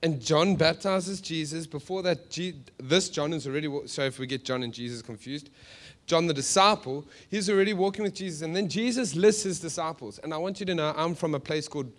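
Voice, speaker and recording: Higher-voiced South African preacher. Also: male voice, brisk. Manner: animated, joking asides, rhetorical questions. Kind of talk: sermon to a live congregation, conversational storytelling.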